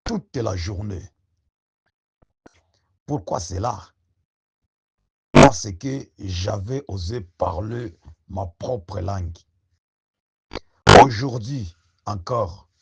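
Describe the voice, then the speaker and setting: Slow husky man giving a rousing address. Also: lowish voice, darkish tone, muffled recording. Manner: slow, rousing, rhetorical questions. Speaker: activist speaker. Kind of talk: impassioned spoken address.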